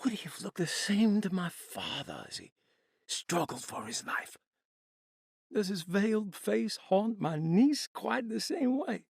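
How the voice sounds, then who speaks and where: Casting: tormented, melancholy man, game monologue, creaky higher-set voice, anguished and theatrical. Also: sing-song, unhurried, no echo, clean studio sound, full character voice. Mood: fearful whisper. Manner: theatrical, anguished, poetic delivery. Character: haunted, tormented, melancholy man reciting his written laments.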